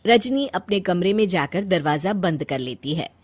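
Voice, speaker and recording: A woman narrating a moral story for a cartoon in a plain, steady storytelling way. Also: on the low side, moderate pace.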